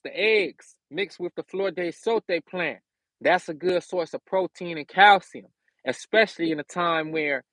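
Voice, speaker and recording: An American man from California hosts a casual livestream. His voice sits a bit high for a man and sounds dry, with little room around it. He gives advice in loose, slangy, conversational phrasing and repeats key phrases for emphasis. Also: a bit bright, no room sound. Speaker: livestream host giving self-sufficiency advice.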